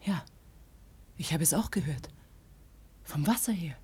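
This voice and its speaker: A woman's voice: low-pitched, lively intonation, measured pace, noisy background. An actress playing a devoted, fearless young woman in love in a German radio play, speaking earnestly and poetically. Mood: expectant.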